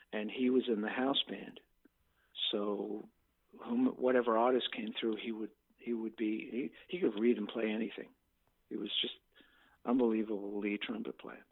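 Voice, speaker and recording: A relaxed, chatty man from Bermuda talks as a guest musician, with frequent chuckles and restarts. His voice arrives over a tinny phone connection that squeezes out the lows and highs. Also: gravelly. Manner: relaxed and chatty, chuckles often, repeats words. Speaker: guest saxophone player. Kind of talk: casual interview chat.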